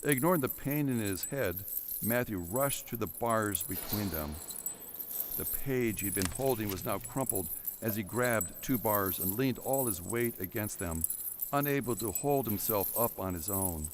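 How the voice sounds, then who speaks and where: A man's voice: on the low side, expressive, a little slow, a little hiss or hum. A man reading scripted narration for an audio drama in a dramatic storytelling style.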